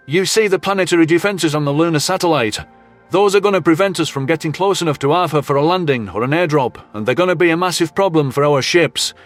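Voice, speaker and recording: A man as a frank, higher-pitched military officer. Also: lightly acted. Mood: blunt, concerned. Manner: blunt, matter-of-fact briefing. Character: brisk, frank human male military officer. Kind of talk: audio drama character acting.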